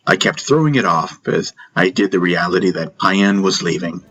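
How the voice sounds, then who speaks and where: A man's voice: low-pitched, expressive, normal speed, bright, forceful tone, muffled recording, faint music behind. A man narrating a first-person story as a dramatic audiobook.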